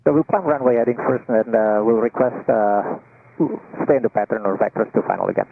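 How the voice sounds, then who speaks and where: A man, a pilot, giving halting, hesitant readbacks over aviation radio. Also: mid-range voice. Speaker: airline pilot. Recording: tinny narrowband cockpit radio transmission.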